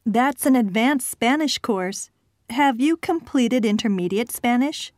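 A woman voicing students, a professor and an office clerk in scripted exam dialogues, with a clear, polite delivery. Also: on the high side, animated, measured pace, darkish tone, no echo, clean studio sound.

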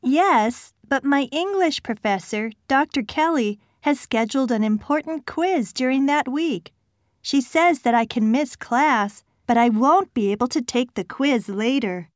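A woman voicing students, a professor and an office clerk in scripted exam dialogues, with a clear, polite delivery. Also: high voice, expressive, unhurried, no echo, clean studio sound.

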